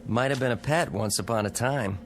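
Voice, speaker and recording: An actor playing an irritable, sardonic husband with an American accent in a mid-century television drama. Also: male voice, medium pitch, a little fast, soft background music, close to an everyday voice. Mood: irritated, dismissive. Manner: sardonic, gruff, dismissive. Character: cynical, irritable husband stranded in a strange town.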